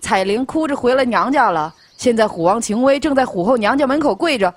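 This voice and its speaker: A woman's voice: fairly high pitch, expressive, brisk, no room sound. A woman playing a chatty, eager young servant boy in a Chinese audio drama, gossipy and deferential to the master. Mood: gleeful gossip.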